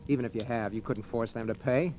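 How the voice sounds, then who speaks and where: An actor playing a glum, sensible American bookkeeper, plainspoken and dry, in vintage radio drama style. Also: male voice, medium pitch, fast talker, darkish tone, lightly acted. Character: glum, sensible, honest bookkeeper, resigned to trouble. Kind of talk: 1940s-50s American radio drama acting. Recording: old radio recording, faint music.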